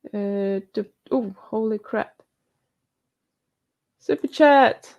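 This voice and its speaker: A woman's voice: on the high side, very slow, creaky, muffled recording. A woman hosting a live stream, reading out viewer questions and comments and answering them in a chatty, casual way. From New Zealand.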